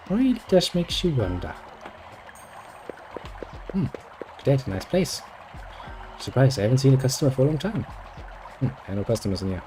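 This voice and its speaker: A man's voice: mid-range voice, darkish tone, poor audio. A man reading game dialogue aloud and adding dry, sarcastic jokes as he plays. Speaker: gameplay video host.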